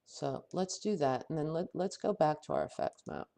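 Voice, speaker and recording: A man with a head cold and a fading voice, teaching a software tutorial while he thinks aloud, halting often and correcting himself. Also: on the high side, lively intonation, gravelly. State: head cold, losing his voice. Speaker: software instructor. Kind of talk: screen-recorded software tutorial.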